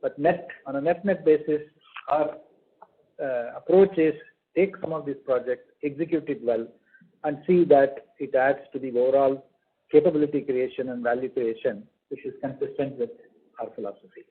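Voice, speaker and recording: A male company executive answers questions on a conference call in a halting, measured way. His mid-pitched voice is rough at the edges, and the line is narrow and phone-like.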